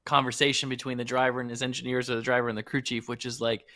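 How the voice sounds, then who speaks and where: A man's voice: mid-range voice, little pitch movement, racing, bright, forceful tone, dry recording, studio quality. An American man co-hosting a talk show in casual conversation. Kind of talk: casual chat.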